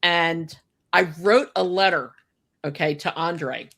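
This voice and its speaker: A woman's voice: lowish voice, slow pace, gravelly, a bit bright. An older woman reminiscing in casual, unscripted talk as a podcast host, chatty and emphatic, with frequent asides to her listeners. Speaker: podcast host telling personal memories.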